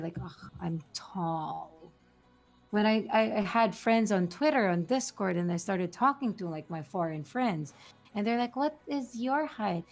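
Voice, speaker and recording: A woman in her twenties from the Philippines, a guest chatting playfully and giggling often. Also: medium pitch. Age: 20s. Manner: giggly, playful, animated storytelling. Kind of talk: casual chat.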